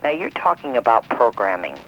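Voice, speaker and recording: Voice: mid-range voice, very animated, a little fast. A man calling a radio show with a casual comment over a muffled telephone line with some noise. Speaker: radio call-in listener.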